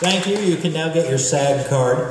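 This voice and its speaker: A man's voice: fairly high pitch, moderate pace, a loud crowd. A man announcing a live radio serial as host, theatrical, hammy and exclamatory. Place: convention hall with audience. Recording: very echoey noisy convention hall, far off.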